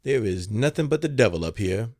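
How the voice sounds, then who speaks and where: A man's voice: lowish voice, expressive, fairly quick, darkish tone, no echo, clean studio sound. A man doing a weary old woman cook. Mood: exasperated. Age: older. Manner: muttering, exasperated complaints.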